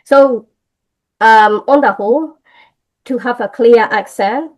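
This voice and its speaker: Slow, hesitant Burmese-accented woman. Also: on the high side. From Myanmar. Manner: hesitant, many fillers and restarts. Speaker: English teacher. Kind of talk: casual interview conversation.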